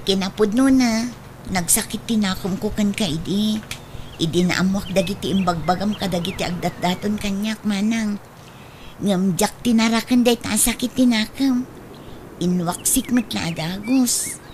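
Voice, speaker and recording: A woman's voice: mid-range voice, moderate pace, a little mellow, lightly acted. A woman playing a frank, teasing younger sister in a comedy radio drama. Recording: noticeable background noise.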